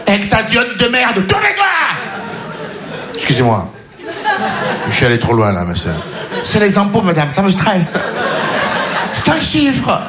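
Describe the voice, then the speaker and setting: Animated male stand-up comic, echoey room, loud crowd. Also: sits high, unhurried, long pauses. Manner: theatrical, bursts into shouted outbursts. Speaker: stand-up comedian. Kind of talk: live stand-up comedy. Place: comedy stage in front of audience. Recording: echoey live venue, loud audience laughter.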